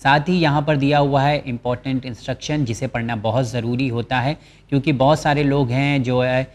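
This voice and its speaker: A man's voice: medium pitch, fairly even intonation. A man hosting a channel, walking viewers through a government job notice. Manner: explanatory, reads English terms from the notice slowly. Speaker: channel host presenting job vacancies. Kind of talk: informational walkthrough of a job notice.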